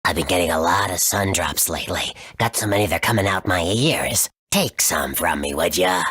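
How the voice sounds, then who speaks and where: An extremely low-voiced forest monster, easygoing and folksy. The husky voice suits a generous video game creature, and the sound is dry. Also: male voice, expressive, moderate pace, fairly bright tone, no room sound, heavily acted. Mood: casual, generous. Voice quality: deep, husky. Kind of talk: video game character acting.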